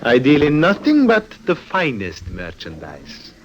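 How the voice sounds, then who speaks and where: An actor playing a crafty circus clown and smuggler in an old radio drama, sly and theatrical. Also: male voice, medium pitch, wildly swooping pitch, measured pace, lo-fi sound, a little hiss or hum. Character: crafty male circus clown, proud emerald smuggler, father.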